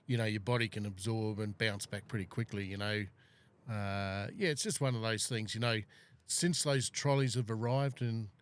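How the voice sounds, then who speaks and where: A man's voice: fairly low pitch, fairly even intonation, moderate pace, smooth. A middle-aged man hosting a casual podcast chat, talking in long rambling runs full of fillers. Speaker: podcast host.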